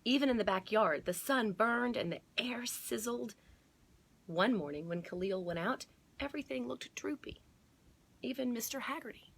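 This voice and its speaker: A woman hosting a children's storytime, reading aloud and pausing to explain and point things out to her listeners. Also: on the high side, expressive, gravelly, a bit bright, slightly rough. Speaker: storytime host at a museum garden. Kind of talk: read-aloud storytime for children with chatty asides.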